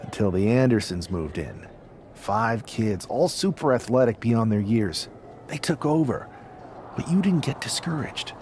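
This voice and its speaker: A man's voice: mid-range voice, animated, measured pace, darkish tone, lightly acted. A man playing a loyal, encouraging young wrestler in a video game cutscene. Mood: sincere, nostalgic. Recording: faint background noise and ambience.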